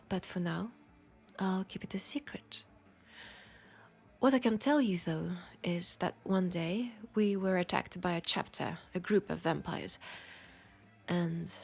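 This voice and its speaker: A woman playing a lonely, centuries-old female vampire in an intimate audio drama, with a French accent and a soft, confiding, unhurried delivery. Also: lowish voice, full character voice. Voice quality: soft, breathy, often dropping to a whisper. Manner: unhurried, confiding, pausing between phrases.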